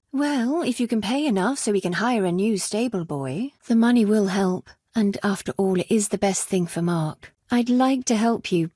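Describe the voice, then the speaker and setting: Middle-aged medieval widow, grieving, wary, plain-spoken, video game drama, dry studio sound. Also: female voice, mid-range voice, warm tone, husky, no echo.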